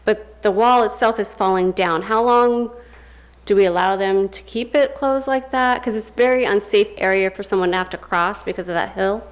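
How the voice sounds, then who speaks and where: A woman's voice: mid-range voice, steady tone, moderate pace. A woman council member asking informal questions at a public meeting.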